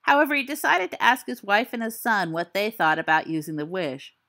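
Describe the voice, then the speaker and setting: A teenage wizard boy spinning a spooky fireside tale with theatrical flair and wry asides, read by a woman. His voice is bright and lively, swinging up and down as he builds the suspense. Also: medium pitch, brisk. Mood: storytelling. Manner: theatrical storytelling, dry asides.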